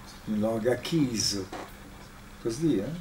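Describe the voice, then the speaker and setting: Older man guest speaker, french not his first language, slow deliberate delivery, faint room hum. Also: fairly low pitch, lively intonation. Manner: halting, precise, with frequent pauses. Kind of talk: lecture to an audience.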